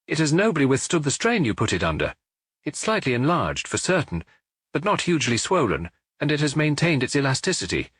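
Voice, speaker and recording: A man voicing a brisk, frank family doctor who reassures his friend in an audiobook. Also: fairly high pitch, huge pitch swings, a bit bright, slightly rough, no room sound, clean studio sound, pitched above the performer's own voice, full character voice. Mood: firm. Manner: brisk, reassuring, lightly teasing.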